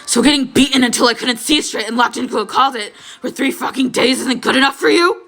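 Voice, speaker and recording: A woman's voice: high-pitched, brisk, a bit bright, voice pushed up. Woman acting an explosive, angry teenage boy. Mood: furious outburst.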